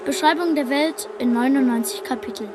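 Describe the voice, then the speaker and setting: High-voiced solemn child acted by a woman. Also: a little fast, background noise, close to an everyday voice. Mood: solemn, matter-of-fact. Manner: solemn recitation. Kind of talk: radio play narration.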